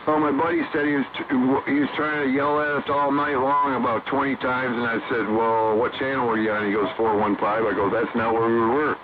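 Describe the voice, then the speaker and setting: A man's voice: mid-range voice, flat delivery, fairly quick, few pauses. Older American man on tinny, static-heavy CB radio. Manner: folksy, blunt, salty language. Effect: CB single-sideband radio. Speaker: amateur CB radio operator. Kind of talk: casual rambling radio chat.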